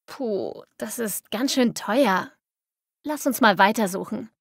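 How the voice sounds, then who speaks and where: A woman playing a sensible, caring young student in a scripted language-learning dialogue, with clear, tidy diction. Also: a little high, takes it slowly, dry recording, studio quality, full character voice. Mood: doubtful. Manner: clear, tidy, textbook delivery.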